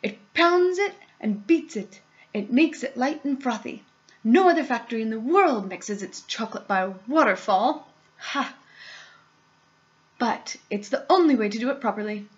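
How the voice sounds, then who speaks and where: A woman's voice: medium pitch, measured pace, creaky, few pauses, muffled recording. A woman voicing an eccentric, exuberant male candy factory owner, boastful and theatrical, in a storybook reading. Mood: gleeful, showy. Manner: theatrical, emphatic, delighted exclamations.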